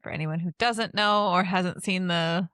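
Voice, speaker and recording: A woman's voice: on the low side, a little slow, a bit bright, no room sound, clean studio sound. A woman co-hosting a podcast in casual chat, rambling a little with plenty of fillers. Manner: chatty, rambling, lots of fillers. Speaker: podcast co-host.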